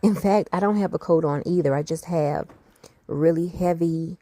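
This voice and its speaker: A woman's voice: lowish voice, moderate pace, warm tone, dry recording. A woman narrating her travel vlog in a chatty, explanatory way, giving practical tips to viewers. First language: not Icelandic. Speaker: travel vlogger.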